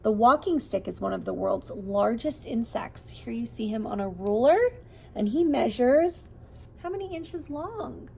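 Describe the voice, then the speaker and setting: A woman with a fairly high voice and a noticeable creak reads a children's book aloud in the manner of a preschool teacher. She is expressive and leaves room after questions for young listeners. There is some faint noise behind her voice. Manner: expressive, asks questions and pauses as if to small children.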